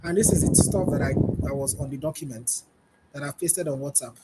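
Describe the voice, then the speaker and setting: A man, demonstrating software on a webinar panel, loosely repeating himself as he goes. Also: mid-range voice, fairly quick. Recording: loud background noise.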